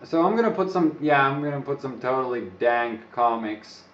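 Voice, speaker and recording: A man's voice: mid-range voice, animated, normal speed, recorded from a distance. A man who is not a native English speaker, rambling and joking on a live stream to his viewers. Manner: rambling, joking, talks to himself. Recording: echoey room, away from the mic, dull lo-fi sound.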